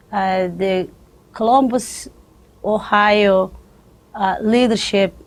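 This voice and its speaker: A woman from Somalia, a social work graduate giving students a spoken overview, pausing often with fillers and restarts. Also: medium pitch. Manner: halting, frequent "uh" fillers and restarts.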